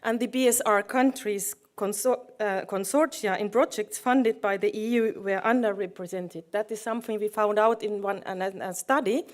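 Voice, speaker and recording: A middle-aged woman from Finland gives a conference presentation, halting often as she searches for words. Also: mid-range voice, fairly even intonation. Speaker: project manager presenting.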